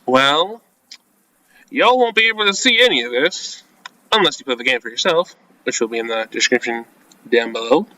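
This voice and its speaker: A man's voice: medium pitch, lively intonation, moderate pace, pauses often, pushed and bright, no echo, low-quality recording. A man reading a visual novel game script aloud, mixing in informal asides and casual commentary as a let's-player. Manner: informal, reacts with asides between read lines.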